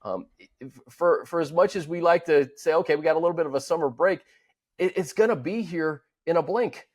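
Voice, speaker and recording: A man's voice: on the high side, brisk. A man co-hosting a sports show, talking in a casual, informal way as he gives commentary.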